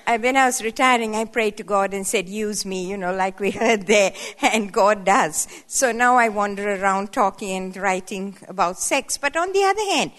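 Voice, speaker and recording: A woman's voice: on the high side, fairly bright tone. Playful woman in her seventies, echoing hall. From Sri Lanka. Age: 70s. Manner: playful, conversational, rising emphatic asides. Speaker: guest speaker. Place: hall at a women's church event. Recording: long reverb of a hall.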